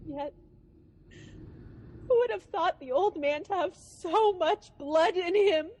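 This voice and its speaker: A haunted sleepwalking noblewoman in a Shakespearean radio play. Her voice is high and very slow. She mutters in broken fragments, then breaks into imperious, guilt-racked outbursts. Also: darkish tone, a little hiss or hum. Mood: haunted, agitated. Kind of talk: Shakespearean radio drama acting.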